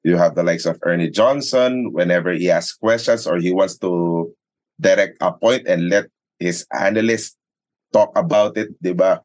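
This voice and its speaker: Low-voiced Filipino man, explaining conversationally. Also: moderate pace, a little mellow. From Philippines. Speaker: sports radio play-by-play commentator and arena announcer. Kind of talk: conversational interview, mixing English and Tagalog.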